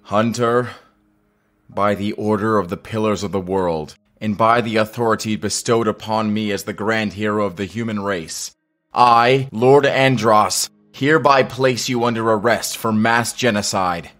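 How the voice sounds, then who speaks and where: A man's voice: low-pitched, slow pace, fairly bright tone, soft background music, heavily acted. A man playing a dutiful, heartbroken young lord and human hero, earnest and formal, in a fantasy audio drama. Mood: stern, commanding.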